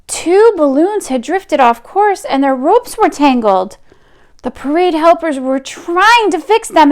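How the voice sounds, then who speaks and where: A woman reading a picture book aloud to children, expressive, with drawn-out emphasis and chatty asides. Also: extremely high pitch.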